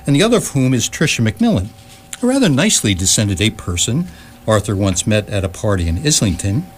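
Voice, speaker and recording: A man performs a wry storyteller for a comic space radio play. His delivery is mock-grand and deadpan, with lively rises and falls. The live stage recording has clear background noise. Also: mid-range voice, lightly acted. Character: wry, unhurried narrator of a comic space guidebook. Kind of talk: scripted comic narration for live radio theatre.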